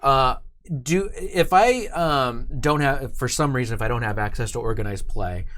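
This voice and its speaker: A man with an average-pitched voice hosts a relaxed, chatty interview. He talks informally, feeling his way through questions and comments with a halting delivery. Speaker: show host, interviewer. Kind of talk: casual chat, interview.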